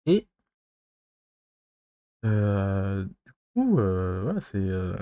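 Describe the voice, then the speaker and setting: A man from France giving advice in a casual monologue to his viewers, halting and rambling, pausing constantly and losing his thread. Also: low-pitched, sing-song, mellow, dry recording. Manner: loses his thread mid-talk. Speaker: vlogger giving dating advice.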